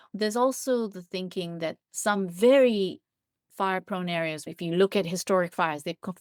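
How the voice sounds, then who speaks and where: A woman's voice: fairly low pitch, dry recording, studio quality. A woman giving thoughtful, explanatory answers as an expert guest in an interview. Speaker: interview guest, biologist and writer.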